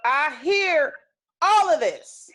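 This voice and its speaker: A woman's voice: high-pitched, animated, very slow, fairly bright tone, full character voice. A woman playing a matter-of-fact young housemate in a comedy table read, speaking in a blunt, businesslike way. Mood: dry. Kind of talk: remote table read of a comedy web series script.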